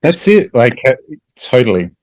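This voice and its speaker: A man's voice: medium pitch, sing-song, normal speed, no room sound. A man from Australia with a mild Australian accent, a musician guest in an interview, speaking reflectively and hesitantly. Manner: reflective and hesitant, many fillers. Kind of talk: casual interview chat.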